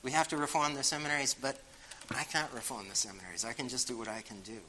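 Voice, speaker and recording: A man's voice: medium pitch, steady tone, fast, pushed and bright, a little hiss or hum, poor audio. An older man with a Boston accent answering questions in a frank, wry way with many fillers. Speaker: guest speaker answering audience questions. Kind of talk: casual spoken reply.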